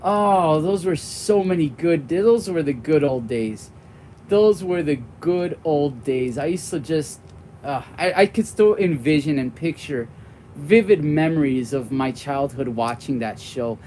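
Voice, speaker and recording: A man's voice: a little high, measured pace, slight background noise. A man in his forties with a young-sounding voice, chatting casually and rambling on tangents during a live board game stream. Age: 40s. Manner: warm and friendly toward viewers.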